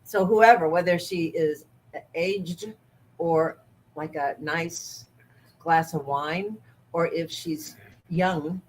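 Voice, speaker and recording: A woman's voice: fairly low pitch, slow, pauses often, a little hiss or hum, rough recording. Chatty, giggly makeup artist woman. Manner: chatty, giggly, gently bossy. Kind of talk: casual makeup demonstration.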